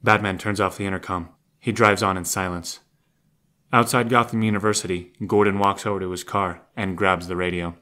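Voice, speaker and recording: A man's voice: sits low, fairly even intonation, a little fast, bright, forceful tone. A man narrating a scripted audio drama in the present tense, scene by scene.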